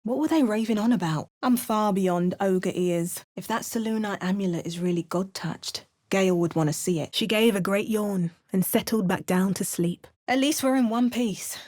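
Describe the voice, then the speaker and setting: A woman's voice: mid-range voice, moderate pace, hardly any pauses, close to an everyday voice. A woman with an English accent voicing a hardened, wry female adventurer in a video game, making dry remarks and chanting spells. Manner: chants spell words in a lively, sing-song way. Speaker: player character.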